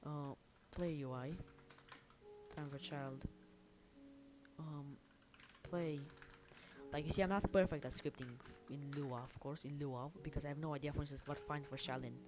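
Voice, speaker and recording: A woman's voice: deep voice, steady tone, moderate pace. A woman casually chatting on a livestream as she works, thinking aloud in an informal, self-deprecating way. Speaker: livestreamer and hobby game developer. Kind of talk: casual livestream chat while working. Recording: music and typing behind the voice. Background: background music, keyboard typing.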